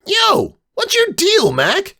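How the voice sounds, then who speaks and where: A man's voice: very high voice, wildly swooping pitch, slow pace, bright, forceful tone, pitched above the performer's own voice, exaggerated voice. A man voicing a brash, cool young female cartoon pegasus pony with a scratchy voice. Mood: brash, challenging.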